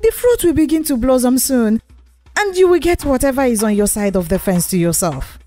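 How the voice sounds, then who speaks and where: A woman's voice: high voice, lively intonation, fairly quick, warm tone, faint music behind, pitched above the performer's own voice. Woman playing placating villager, lilting. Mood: reassuring. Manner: placating, persuasive. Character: patient, reassuring village man who owns a tree.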